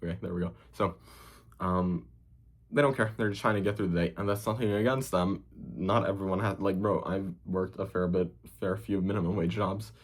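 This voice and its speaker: A man's voice: sits low, steady tone, normal speed. A young man from Hungary with a slightly Americanized Hungarian accent, the host of a cooking channel, ranting casually to camera, profane and rambling with lots of fillers. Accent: Hungarian, partly Americanized.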